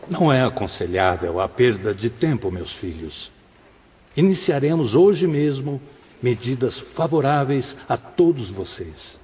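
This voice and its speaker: Sóstenes, instrutor espiritual de voz rouca e reverberante, feito por um homem. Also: male voice, medium pitch, sing-song, a little slow, a little mellow, breathy edge, exaggerated voice. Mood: gently firm. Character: Sóstenes, kindly authoritative male spirit instructor, fatherly. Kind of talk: scripted audio-book drama in Portuguese. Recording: dull audio with long reverb.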